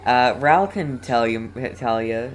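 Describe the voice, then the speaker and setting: A video game streamer giving casual live commentary and chatting with viewers, halting and often repeating words. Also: medium pitch, animated, slight background noise.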